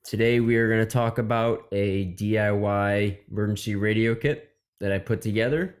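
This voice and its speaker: A young man talks through his gear in a relaxed, matter-of-fact manner. His voice sits a little low. He explains each item simply, like a regular show host. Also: moderate pace. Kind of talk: casual gear walkthrough.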